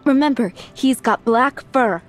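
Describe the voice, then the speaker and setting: A woman voicing a sweet, fussy, doting young townswoman in a video game, speaking in a gentle, expressive way. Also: on the high side, unhurried, faint music behind, lightly acted. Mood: fond, helpful. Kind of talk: video game character dialogue.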